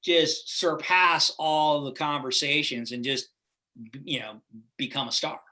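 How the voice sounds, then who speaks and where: A middle-aged man with a relaxed Californian accent talks as a guest on an interview show, meandering through his thoughts and checking in with "right?". His voice is bright and sits a little high for a man. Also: measured pace. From Los Angeles, USA. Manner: rambling, full of "like" and "right?". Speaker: guest actor. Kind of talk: casual interview chat.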